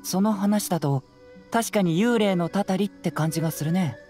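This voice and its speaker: A man's voice: high-pitched, normal speed, darkish tone, lightly acted. An actor playing a clever, confident young male detective in an anime game, speaking in a composed, casual, analytical way. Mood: thoughtful. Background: music.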